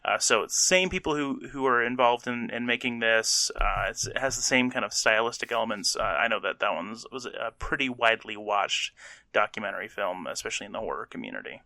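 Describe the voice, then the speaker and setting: Very bright, sharp-voiced man on a podcast. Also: lowish voice, lively intonation, a little fast, creaky, no echo, low-quality recording. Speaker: podcast co-host. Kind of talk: casual explanatory chat.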